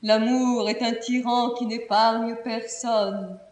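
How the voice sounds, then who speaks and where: Slow, anguished young princess. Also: female voice, fairly high pitch, fairly even intonation, darkish tone. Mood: anguished confession. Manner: solemn, impassioned, theatrical declamation. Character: proud, lovesick young Castilian princess, anguished and noble. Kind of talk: declaimed classical French verse stage tragedy. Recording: roomy, distant theatrical studio recording.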